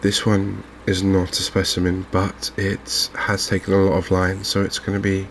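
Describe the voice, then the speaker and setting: Softly spoken man, low and very even. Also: a little hiss or hum. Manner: calm, understated, unhurried running commentary. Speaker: gaming video host. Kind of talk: softly spoken ASMR game commentary.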